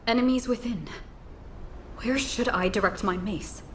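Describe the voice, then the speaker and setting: A woman's voice: a little high, a little slow, a little hiss or hum, natural voice. An actress playing a solemn warrior woman in a video game, earnest, with stiff formal diction. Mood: grave, formal.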